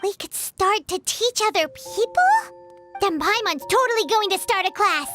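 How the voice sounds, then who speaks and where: A very high, squeaky little fairy, bubbly and childlike, with pitch that bounces all over. It is anime game acting, with music clearly behind the voice. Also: female voice, moderate pace, full character voice. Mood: eager, gleeful. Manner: excitable, refers to self in third person. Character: tiny childlike floating fairy companion, excitable, food-loving, female.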